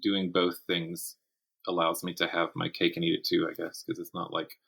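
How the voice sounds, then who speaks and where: A man chatting casually as an interview guest, telling stories in animated, stop-start phrases. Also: sits low, normal speed, gravelly, husky. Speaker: podcast guest, writer and writing teacher.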